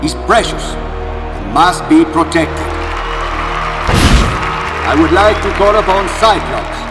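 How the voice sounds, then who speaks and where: A man's voice: a little high, very animated, slow pace, recorded from a distance. A man voicing an impassioned peacekeeping-force leader in a video game, declaiming emphatically like a politician at a rally. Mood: proud, solemn. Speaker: public speaker. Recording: echoey public-address hall, loud music and crowd.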